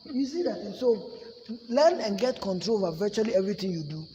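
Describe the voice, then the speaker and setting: A man's voice: high-pitched, sing-song, a little fast, warm tone, slight background noise. A man with a Ghanaian accent teaching a public speaking class in an emphatic way, often asking "you see?". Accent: Ghanaian English. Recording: echoey room with long reverb.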